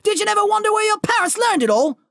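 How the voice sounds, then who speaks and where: A hulking, shaggy giant of a man with a gruff heart booms out his rustic speech, as a woman puts him on. The voice sits well above the reader's own low tone and swings widely. It is a bit husky, and the room sounds dry. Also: big pitch swings, normal speed, dry recording. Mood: angry. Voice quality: loud, booming, rustic speech.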